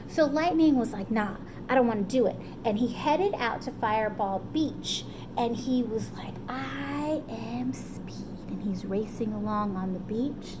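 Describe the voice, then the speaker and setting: A woman telling a story to children in an animated, encouraging way, often checking in with her listeners. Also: sits high, noisy background. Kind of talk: animated children's storytime retelling, loosely paraphrased.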